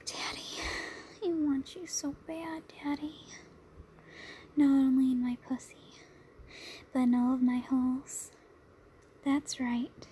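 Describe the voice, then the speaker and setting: A woman with a high, soft voice speaks slowly and intimately, as in adult audio roleplay. She moves between breathy whispering and mellow, sultry murmuring. Faint but steady noise sits behind her. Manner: sultry, drawn-out, intimate delivery. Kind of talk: erotic audio roleplay, intimate monologue addressed to listener.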